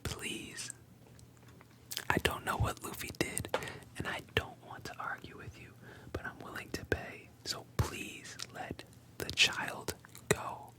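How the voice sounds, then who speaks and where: A whispering narrator voicing an anxious older village chief, pleading and scolding in a breathy hush. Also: slow, lightly acted, rough recording. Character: worried elderly village chief.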